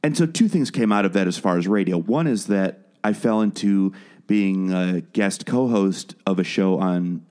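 A man's voice: sits low, moderate pace, darkish tone. A man born in Wales, speaking with no accent, chatting as a guest about his music and radio career, often restarting and repeating words. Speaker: guest, musician and podcast producer. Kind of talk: casual interview conversation.

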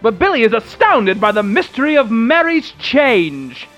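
A man narrates with a very high, swooping voice over faint music. The delivery is dramatic and scripted, in the style of radio theatre. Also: big pitch swings, moderate pace, fairly bright tone.

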